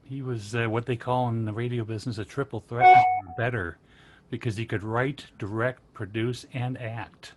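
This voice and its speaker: A man's voice: medium pitch, steady tone, normal speed, noisy background. An American man moderating a panel, casually chatting and reading out audience questions.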